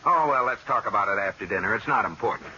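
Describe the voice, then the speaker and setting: An actor playing a breezy, oblivious husband in an old radio comedy. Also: male voice, fairly low pitch, animated, fast, bright, forceful tone. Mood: breezy, puzzled. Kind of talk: 1940s-50s radio comedy acting. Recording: very noisy, muffled old radio broadcast.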